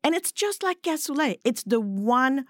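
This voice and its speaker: A woman's voice: high voice, very animated, no room sound, studio quality. A woman who grew up in Switzerland and speaks English as a second language, French being her first, talking thoughtfully as a podcast guest. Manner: thoughtful, measured, with pauses and fillers. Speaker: guest, cookbook writer. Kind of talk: podcast interview.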